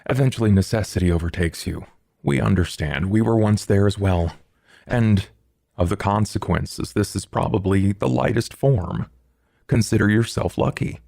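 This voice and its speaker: A man playing a courtly, stern gentleman vampire, speaking in a measured, formal and proper way. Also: fairly low pitch, creaky, dry recording, clean studio sound. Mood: stern, composed.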